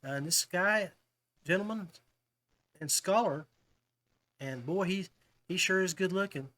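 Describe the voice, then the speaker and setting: A man's voice: sits high, a little slow, bright, forceful tone. A man hosting a casual fishing podcast, talking to guests in a chummy way, like old buddies. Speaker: podcast host.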